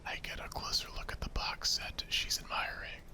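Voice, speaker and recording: A man's voice: moderate pace, bright, forceful tone. A man narrating a visual novel in a whispered ASMR style.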